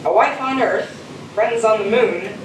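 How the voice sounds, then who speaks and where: A young male actor as an earnest, sentimental astronaut, speaking slowly and theatrically. The voice carries through a very echoey space with clear noise behind it. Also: high voice. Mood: earnest, wistful. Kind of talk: theatrical stage acting. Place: stage of a school theater. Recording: very echoey stage, distant mic, noisy.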